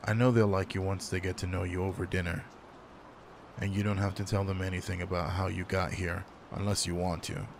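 A man playing a gruff but caring werewolf pack alpha in an intimate roleplay audio drama, speaking in a low-key, casual, matter-of-fact way. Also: flat delivery, fairly quick. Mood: calm, welcoming. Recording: noticeable background noise.

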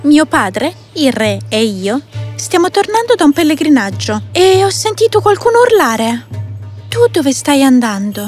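Lady doing young fairytale princess, really high n animated, friendly, eager. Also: measured pace, faint music behind. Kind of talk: children's fairy tale acting.

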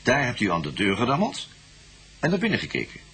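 Animated, coaxing police investigator. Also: male voice, mid-range voice, fairly quick, full character voice. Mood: patient probing. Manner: repeats himself reassuringly, prompts gently. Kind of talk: radio drama dialogue.

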